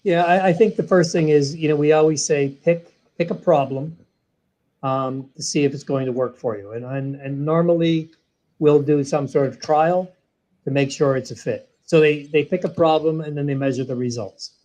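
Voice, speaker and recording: A fairly high-voiced man explains a product as an interview guest. He walks through each step one at a time, and his voice lifts with energy on key points. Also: fairly even intonation, moderate pace, mellow, poor audio. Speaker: guest, sales training software executive.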